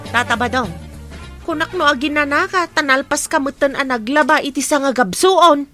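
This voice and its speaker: A woman's voice: sits high, normal speed, music in the background, close to an everyday voice. A woman acting a sympathetic, cautioning village woman in a comedy drama, with an Ilocano accent. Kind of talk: comic radio-drama acting.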